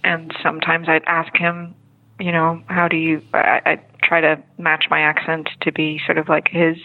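A Dutch-born actress answering interview questions in a hesitant way, with many fillers and restarts. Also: female voice, deep voice, completely flat delivery, moderate pace, gravelly. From Netherlands. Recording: tinny phone-like line.

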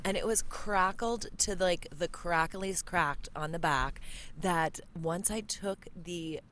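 A woman with a low voice chats on a podcast from her home recording setup. She rambles and laughs often. Faint noise sits behind her. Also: slow pace, fairly bright tone. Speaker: podcast guest. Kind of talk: casual podcast chat. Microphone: studio mic with headphones.

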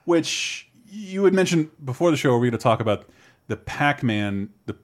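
A man chatting casually on a podcast. His pitch sits in a middle range for a man, and his talk is loose and rambling, full of restarts and quick laughs. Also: lively intonation.

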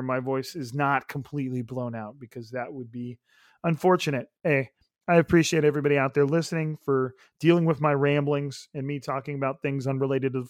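Young man, hoarse raspy voice, slightly high pitch, rambling casual podcast chat, dry close recording. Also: no echo. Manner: rambling, upbeat, lots of fillers. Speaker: podcast host.

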